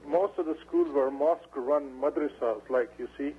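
A man, a guest in a radio interview, talking in halting phrases with many fillers and restarts. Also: a little high, husky. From Pashtun area of Pakistan. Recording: dull radio broadcast with faint hum.

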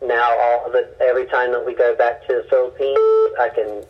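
A man with a husky, breathy voice in a middle register speaks as a missionary guest, recounting his experiences earnestly and often restarting his sentences. The sound is dull and lo-fi. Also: medium pitch, little pitch movement. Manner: earnest, halting with many restarts. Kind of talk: personal storytelling in an interview.

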